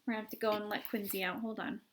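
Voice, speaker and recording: A woman reading a children's book aloud at home, slow and expressive, with chatty asides. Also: medium pitch, a little hiss or hum. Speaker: reader.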